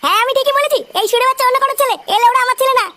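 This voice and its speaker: A performer voicing an excitable, foul-mouthed cartoon village youngster in speech, with a squeaky pitched-up effect. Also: rapid-fire. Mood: indignant. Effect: pitch-shifted cartoon voice. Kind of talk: comedy cartoon dialogue.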